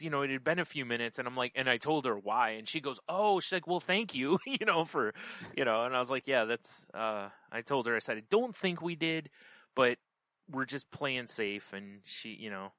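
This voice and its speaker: Man, bright forceful voice, casual podcast chat, rambling storyteller, very dry close sound. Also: mid-range voice, expressive, normal speed, no echo. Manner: rambling, conversational, quotes himself and others. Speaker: podcast host. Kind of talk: casual chat, personal anecdotes.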